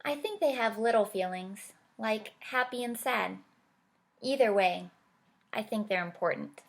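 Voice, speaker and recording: A woman doing a wise, gentle otter. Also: mid-range voice, expressive, unhurried, vocal fry. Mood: thoughtful. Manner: gentle, reassuring, thoughtful. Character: wise kind male otter, patient friend.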